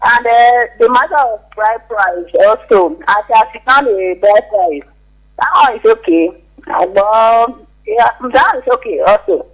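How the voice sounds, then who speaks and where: A man's voice: sits high, a little slow, fairly bright tone, rough recording. A man with a Nigerian accent giving his opinion informally as a caller on a radio phone-in, hesitant and repeating himself. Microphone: telephone call to a radio show.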